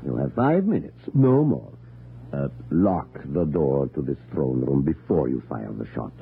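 A man's voice: rumbling low, very animated, measured pace, vocal fry, heavily acted. An actor playing a cold, calculating male usurper in an old radio drama, speaking with precise menace. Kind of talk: 1940s radio acting. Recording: tinny old radio broadcast with hiss.

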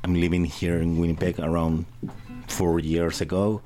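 A very deep-voiced man with a Chilean Spanish accent chats as a guest musician. His English is halting, and he often repeats words while searching for them. He laughs in a friendly way. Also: flat delivery, warm tone, soft background music. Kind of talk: casual radio interview.

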